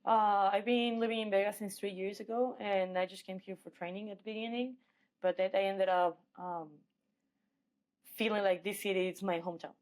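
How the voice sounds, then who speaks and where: A woman's voice: mid-range voice, steady tone, moderate pace, a little mellow, rough recording. A woman from Mexico with a Mexican accent, talking casually as an athlete being interviewed. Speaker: guest, professional MMA fighter.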